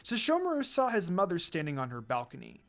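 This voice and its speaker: Expressive storyteller with lively, swinging pitch. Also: a little fast, faint music behind. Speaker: narrator. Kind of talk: dramatic fan fiction story reading.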